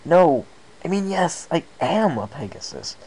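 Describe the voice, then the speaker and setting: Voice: medium pitch, very animated, a little slow, a little mellow, slight background noise, pitched above the performer's own voice, low-quality recording. A performer voicing an earnest young male pegasus pony in a storybook reading, anxious and a little hesitant. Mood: excited.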